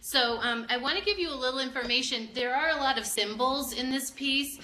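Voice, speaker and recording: A woman's voice: high-pitched, fairly quick, sharp tone. A woman working as an educator, leading an interactive art discussion with children and encouraging them with questions. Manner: encouraging, prompting with questions, repeats answers back. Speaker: museum educator teaching children. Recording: roomy echo, light background noise.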